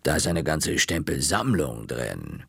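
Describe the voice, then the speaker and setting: A man voicing a smug, quietly threatening woman librarian, speaking slowly, in a storybook reading. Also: a bass voice, very animated, gravelly, breathy edge, no echo, studio quality, pitched below the performer's own voice, full character voice. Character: sly menacing woman librarian, middle-aged.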